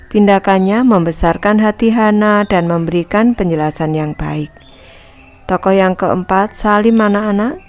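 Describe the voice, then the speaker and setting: A woman's voice: mid-range voice, a little mellow. A woman teacher who reads a textbook lesson aloud to schoolchildren and explains each part patiently, speaking to the class directly. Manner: calls herself Ibu and addresses the class as anak-anak.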